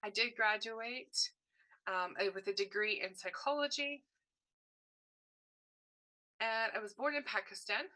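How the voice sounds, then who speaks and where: A woman's voice: mid-range voice, unhurried, pauses often, pushed and bright, rough recording. A woman voicing a deaf presenter's signed workshop talk in short, broken phrases, often correcting herself mid-sentence. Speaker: sign language voice interpreter.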